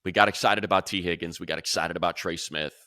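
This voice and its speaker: A man's voice: low-pitched, fairly even intonation, quick pace, sharp tone, no echo, clean studio sound. A man hosting an unscripted sports talk show, speaking emphatically and repeating phrases to drive points home.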